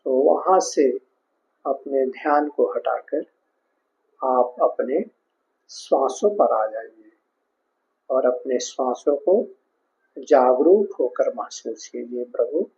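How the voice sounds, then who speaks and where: A man with an Indian accent leading a guided meditation as a teacher, giving instructions in short phrases with pauses. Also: mid-range voice, lively intonation, creaky, a little mellow. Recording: dull lo-fi sound.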